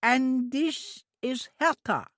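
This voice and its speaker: A reader voicing a childlike, eager young woman who speaks slowly in a high, sing-song, pleading way. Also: fairly bright tone, no room sound, clean studio sound.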